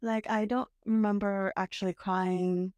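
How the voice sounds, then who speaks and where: A woman's voice: medium pitch, little pitch movement, unhurried, darkish tone, clean tone, no room sound. Hesitant, reflective woman chatting casually. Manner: hesitant, reflective, many fillers and restarts, occasional laughs. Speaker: guest, host's sister. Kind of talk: casual conversation. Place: parents' house.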